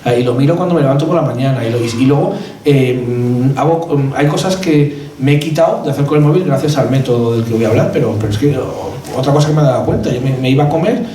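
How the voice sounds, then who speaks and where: A middle-aged man from Madrid with a Castilian Spanish accent, an author presenting his book in an informal, anecdotal way, halting and rambling with repeated words. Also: mid-range voice, mellow. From Madrid, Spain. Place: event hall at a cultural centre. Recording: roomy echo, distant mic, background noise.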